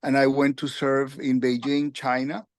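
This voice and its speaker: A man in his sixties from Peru, a retired UN official telling his career story in an interview, with halting speech full of fillers and restarts. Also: medium pitch, little pitch movement, no echo, clean studio sound. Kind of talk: conversational interview.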